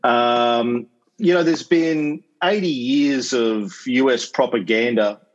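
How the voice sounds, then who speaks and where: Australian male host, casual lecture with filler and dry asides, middle pitch, slightly dull call audio. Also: slow pace. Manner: chatty, lots of ums and uhs, wry asides. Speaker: podcast host giving a school lecture. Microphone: video call.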